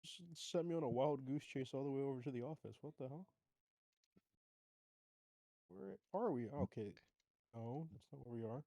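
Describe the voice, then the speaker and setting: Mellow, sweary male tabletop player. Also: mid-range voice, moderate pace, vocal fry, pauses often, no room sound, poor audio. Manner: profane, slangy, grumbling. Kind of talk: casual gaming chat.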